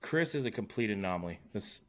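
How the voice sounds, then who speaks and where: A man in his mid-thirties co-hosting a casual chat, easygoing and quick to agree. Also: lowish voice, lively intonation. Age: 30s. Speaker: podcast co-host.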